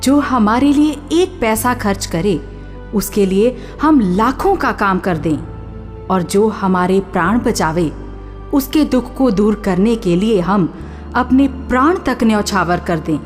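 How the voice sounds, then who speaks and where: A woman narrator of a radio drama with a mid-pitched voice and a slow, measured delivery. She explains things to listeners in a scripted, didactic style, with faint music behind her. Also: animated. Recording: soft music under the voice.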